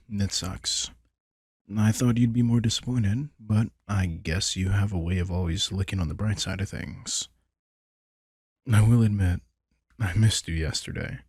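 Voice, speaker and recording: A male actor as a centuries-old vampire in an intimate roleplay audio drama. His deep voice crackles with fry and sits close and dry. He talks casually and sardonically, teasing but protective. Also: darkish tone, no room sound. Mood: wry, caring.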